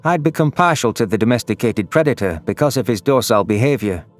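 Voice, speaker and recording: A man's voice: mid-range voice, expressive, fast talker. A man reading scripted, dramatic narration for a science fiction audio story. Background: background music.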